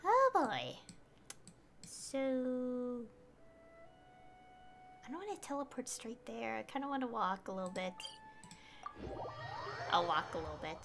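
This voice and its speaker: High-voiced, unhurried woman game streamer. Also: animated, vocal fry, over music. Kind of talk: live game stream, reading dialogue aloud, casual commentary. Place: indoor livestream setup, game music behind.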